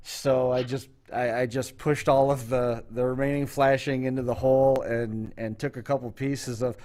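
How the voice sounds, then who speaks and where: A man's voice: medium pitch, little pitch movement, normal speed, a little mellow. Male talk show host, mid-range voice, casual and rambling, takes his time through a story. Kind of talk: casual chat.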